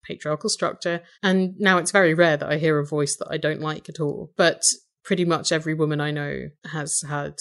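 Woman, English accent, low voice, vocal fry, articulate, wry, interview guest. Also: steady tone, a little fast, few pauses. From Britain. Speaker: podcast host, interview guest. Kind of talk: conversational interview.

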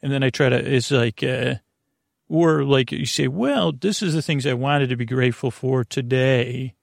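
Male sleep-podcast host, gravelly fry, dry studio sound, halting and meandering bedtime rambler who stumbles on words. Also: medium pitch, dry recording.

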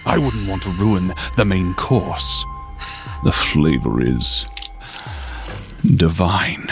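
A man playing a sinister, gloating gourmand in a horror audio drama, speaking with slow, menacing relish. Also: deep voice, sing-song, music in the background, exaggerated voice. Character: sinister, gloating gourmand savoring a grisly meal.

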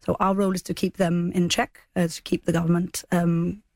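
A woman's voice: lowish voice, flat delivery, a little fast, darkish tone, no room sound. A woman speaking as a political guest in a formal interview, earnest and careful with her phrasing.

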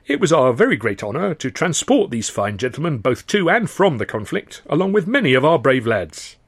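Fussy old clerk, high and bright, eager to please, dry close sound, as the narrator puts him on. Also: male voice, sing-song, a little fast, slightly rough, no echo, full character voice. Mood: earnest. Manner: fussy, bright, stretched-out delivery.

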